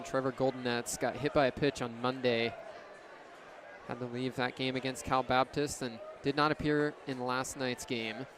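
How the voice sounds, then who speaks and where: A man in his twenties doing live baseball play-by-play on the radio in clipped, descriptive phrases. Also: medium pitch. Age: 20s. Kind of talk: live sports commentary. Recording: crowd and outdoor ballpark noise behind. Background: ballpark crowd.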